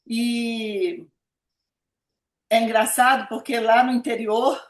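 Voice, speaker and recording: Older brazilian lady from minas, highish voice, telling life stories in an interview, echoey room. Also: steady tone, takes it slowly. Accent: Brazilian, Minas Gerais. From Minas Gerais, Brazil. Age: about 70. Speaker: interviewee, pediatric nephrologist. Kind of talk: conversational autobiographical interview.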